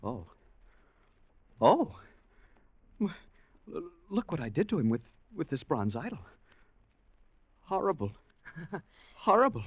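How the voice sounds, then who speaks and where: An actor playing an earnest, mild, nervous gentleman passenger in a 1940s radio drama, polite and stammering. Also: fairly high pitch, very animated, takes it slowly, long pauses, slightly rough, no echo, muffled recording, exaggerated voice. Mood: horrified, dazed.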